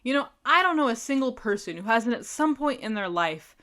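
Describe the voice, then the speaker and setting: A woman co-hosting a casual panel discussion in an animated, playful manner. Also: fairly high pitch, fairly quick. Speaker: podcast co-host.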